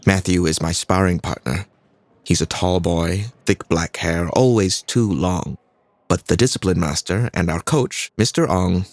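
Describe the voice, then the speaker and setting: An actor playing an earnest young fighter narrating his own story in a measured way, with a Singaporean accent. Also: low voice, no room sound. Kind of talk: scripted first-person audio drama narration.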